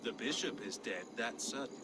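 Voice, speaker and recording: An actor playing a jovial, learned English scribe in a video game, eloquent, wry and bantering. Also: male voice, mid-range voice, normal speed, very noisy, natural voice. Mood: amiable, reflective. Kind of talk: dramatic video game acting.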